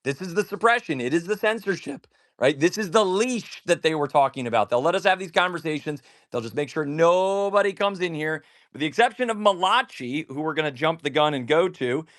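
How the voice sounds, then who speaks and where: Male host, slightly higher voice, presses callers hard, moderating a live audio space. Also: lively intonation, fairly quick, a bit bright. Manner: pressing, interrogating, interrupts.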